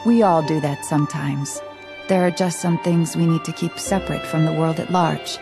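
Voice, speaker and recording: A woman playing a wise, composed young woman in a video game, gentle and reassuring. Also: sits low, steady tone, moderate pace, gravelly, over music. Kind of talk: video game cutscene acting.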